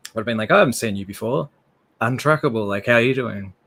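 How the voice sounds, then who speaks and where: A man's voice: mid-range voice, quick pace, a bit bright. A man with an Australian accent hosting a live stream, chatting casually with many fillers and restarts. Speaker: livestream host.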